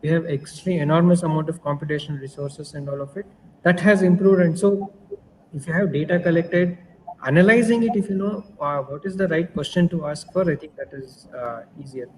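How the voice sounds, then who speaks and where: Fairly high-voiced man. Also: a little fast, darkish tone, big-room sound, a little hiss or hum, low-quality recording. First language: Kannada. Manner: thoughtful, explanatory, searches for words. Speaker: panelist. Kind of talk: virtual panel discussion. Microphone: video call.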